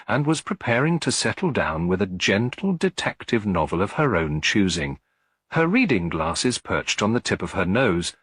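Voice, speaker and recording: A man's voice: low-pitched, a bit bright, husky, dry recording, studio quality. A man reading a mystery story aloud as a scripted audiobook narration.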